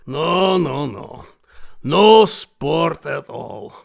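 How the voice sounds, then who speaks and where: Gravelly, grandiose alien hunting aristocrat. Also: male voice, fairly high pitch, sing-song, exaggerated voice. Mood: boastful, grandiose. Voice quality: gravelly, raspy. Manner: florid, theatrical, deliberate. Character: alien aristocratic hunting fanatic, obsessive, grandiose, menacing male host. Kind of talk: audio drama villain acting.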